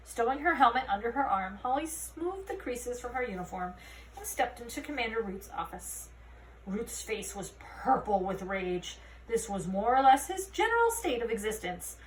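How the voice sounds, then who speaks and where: Distant, high-voiced woman reading a story. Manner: expressive storytelling, acting out dialogue. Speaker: read-aloud narrator. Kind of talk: reading a children's fantasy novel aloud. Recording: roomy, distant mic, faint noise.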